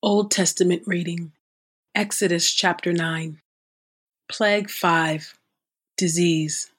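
A woman reading scripture aloud at a measured, steady pace. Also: fairly low pitch, breathy edge. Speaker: scripture reader.

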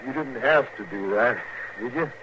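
Through a muffled, noisy old radio recording comes a man acting a sullen cowboy outlaw. His mid-pitched voice has a rural Western American drawl, folksy and distrustful, in the manner of 1950s radio westerns. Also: moderate pace, close to an everyday voice. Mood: sullen, puzzled. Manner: drawling, uneducated folksy speech. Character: sullen, distrustful cowboy cattle rustler under arrest.

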